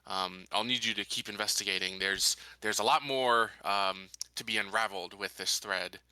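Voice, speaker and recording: A man playing a calculating colony leader in a tabletop game, speaking in a deliberate, formal, halting way. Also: lowish voice, fairly even intonation, sharp tone, smooth, no room sound, rough recording. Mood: approving. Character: measured, calculating male colony leader giving orders.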